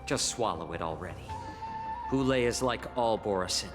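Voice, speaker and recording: A man voicing a composed, courteous fox-folk envoy in a video game, speaking in a measured, polite but firm way. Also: fairly low pitch, animated, in a large hall, over music, close to an everyday voice. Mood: calm, firm.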